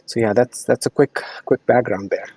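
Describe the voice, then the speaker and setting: A man's voice: mid-range voice, moderate pace, darkish tone, breathy edge, no room sound. A middle-aged man with an Indian accent, a podcast guest answering thoughtfully with frequent ums and restarts. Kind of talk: conversational interview answers.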